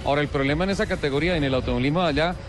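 A man's voice: on the high side, rapid-fire, background noise. A man hosting a live motorsport radio interview at an auto show. He teases his guests playfully, then turns earnest and encouraging. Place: auto show pavilion booth.

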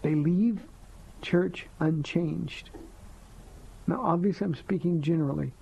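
A soft-spoken man with a gravelly, husky voice, pitched a little high for a man, hosts a live call-in show as a pastor. He answers listener questions gently and earnestly, leaning on slow emphatic phrases. The sound is a dull, lo-fi radio broadcast. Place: radio studio.

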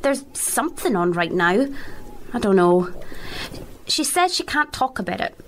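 A woman's voice: medium pitch, big pitch swings, a little slow, a little hiss or hum. A woman playing an offhand, matter-of-fact schoolgirl gamer in a naturalistic radio drama.

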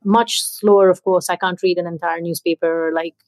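A woman's voice: fairly low pitch, fairly even intonation, moderate pace, darkish tone, dry recording. A woman hosting a podcast, chatting casually and thinking aloud with lots of fillers. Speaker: podcast host. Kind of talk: conversational interview. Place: in India.

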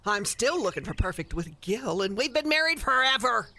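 High, animated, meddling older woman. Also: a little hiss or hum, heavily acted. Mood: insistent. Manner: brisk, folksy, opinionated. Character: opinionated, folksy older married woman, meddling neighbor. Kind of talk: radio play acting.

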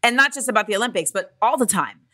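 A woman's voice: a little high, animated, quick pace, bright, forceful tone. A woman who was once a gymnast, interviewing in a casual, chatty way, swearing now and then and laughing often.

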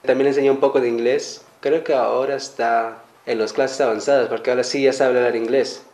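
A teenage boy being interviewed, speaking slowly and earnestly in plain words. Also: medium pitch, steady tone, darkish tone, echoey room. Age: teens. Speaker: student guest.

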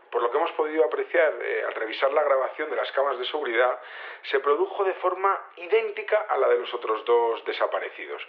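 Radio drama: earnest male security chief, factual, halting delivery, thin telephone sound. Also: medium pitch. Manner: hesitant, filler-laden, factual. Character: earnest, matter-of-fact male security chief reporting by phone. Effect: phone line filter. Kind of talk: naturalistic audio fiction radio interview. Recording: tinny narrow phone line.

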